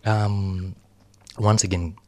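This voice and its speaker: A man's voice: low voice, level tone, dark tone, no echo. A man with a Greek accent, a psychologist guest explaining things carefully in an interview, often correcting himself. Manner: careful, deliberate, self-correcting.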